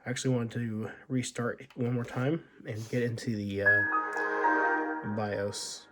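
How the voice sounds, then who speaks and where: Hoarse man casually walking through a laptop. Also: medium pitch, level tone, over loud music. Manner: thinking aloud, halting, many fillers. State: hoarse from illness. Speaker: tech video host. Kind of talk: casual unboxing walkthrough.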